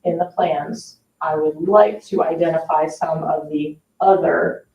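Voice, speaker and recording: Woman, lowish voice, informal hedging explanations, parks staff at a board meeting, dull distant recording. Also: unhurried. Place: indoor public board meeting room. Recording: echoey meeting room, distant dull mic.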